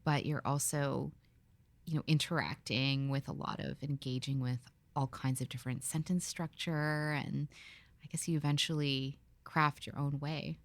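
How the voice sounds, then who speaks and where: A woman from the Philippines hosting a podcast interview. She talks in a reflective, relaxed way, with fillers and restarts. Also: low-pitched, normal speed, no echo. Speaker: podcast host. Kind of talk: conversational interview.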